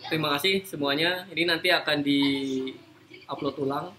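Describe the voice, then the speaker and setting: Higher-pitched man hosting, noisy room. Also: fairly even intonation, measured pace, pushed and bright. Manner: informal, joking. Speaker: host. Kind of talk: casual live talk-show chat. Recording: noisy room, live stream.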